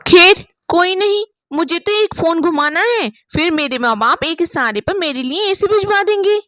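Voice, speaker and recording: Haughty rich young bride played by a woman, very high voice, dry recording, taunting and spiteful, moral-story audio. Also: expressive, normal speed, fairly bright tone, no echo. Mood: mocking. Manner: taunting, sneering, dramatic.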